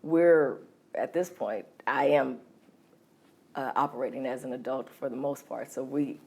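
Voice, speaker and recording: A middle-aged woman from California telling her life story as an interview guest, hesitant with many ums and soft laughs. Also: very deep voice, a little slow, gravelly, slightly rough. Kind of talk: candid personal storytelling.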